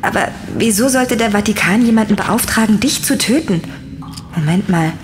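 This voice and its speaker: A woman's voice: mid-range voice, expressive, moderate pace, noisy background, natural voice. Actress as earnest woman narrator, background ambience. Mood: concerned, probing. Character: inquisitive young woman narrating her dark adventure. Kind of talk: German audio drama narration and dialogue.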